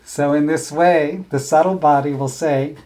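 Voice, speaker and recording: A man teaching a scripture class, explaining ideas in a thoughtful, halting way with frequent restarts. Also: fairly high pitch, fairly even intonation, darkish tone, low-quality recording. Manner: chants verses slowly. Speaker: spiritual teacher.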